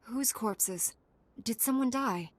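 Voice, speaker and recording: A woman's voice: fairly high pitch, slow, slight background noise. An actress playing a resolute, earnest young female space knight in a video game. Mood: serious, concerned.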